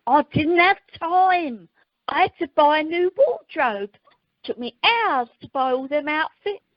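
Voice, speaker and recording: Brash, vain wife played by a woman, high and swooping, radio comedy, noisy clicking phone line. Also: animated, a little slow, no room sound. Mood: chatty, vain. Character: brash, dim, vain nouveau-riche wife. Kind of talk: amateur radio comedy acting.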